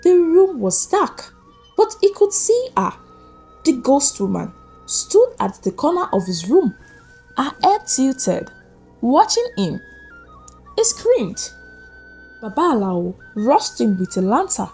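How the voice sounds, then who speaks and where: A woman narrating a scripted folktale as a storyteller, with suspenseful, deliberate phrasing. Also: sits high, sing-song, darkish tone, low-quality recording. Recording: music behind the voice. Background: background music.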